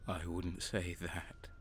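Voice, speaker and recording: Haunted turncoat soldier played by a man, audio drama. Slow, bitter, dramatic, on the low side. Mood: quietly resolved. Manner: dramatic, stammering under stress. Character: haunted, desperate renegade guardsman, defiant and bitter. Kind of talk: audio drama acting with first-person narration. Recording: music behind the voice.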